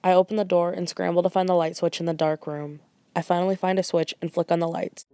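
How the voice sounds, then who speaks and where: A woman's voice: fairly low pitch, level tone, quick pace, dark tone, smooth, dry recording, faint music behind, lightly acted. A woman giving scripted first-person narration in an amateur fairytale parody. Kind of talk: scripted storybook narration and character dialogue.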